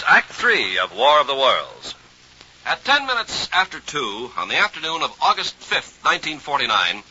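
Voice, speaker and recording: A man working as a radio announcer, reading formal 1950s-style scripted narration with measured emphasis. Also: a little high, pushed and bright, breathy edge. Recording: dull vintage radio recording.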